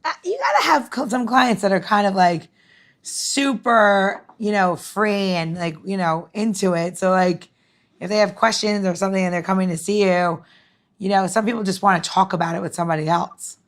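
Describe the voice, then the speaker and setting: A woman's voice: mid-range voice, normal speed. A woman co-hosting a casual drinks podcast. She rambles through her questions with many fillers and giggles as she talks. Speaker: podcast co-host. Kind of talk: casual chat.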